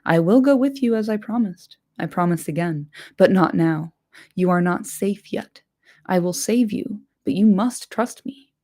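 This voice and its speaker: A woman voicing an anxious, gentle young male hobbit, pleading softly and urgently. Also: mid-range voice, a little slow, close to an everyday voice.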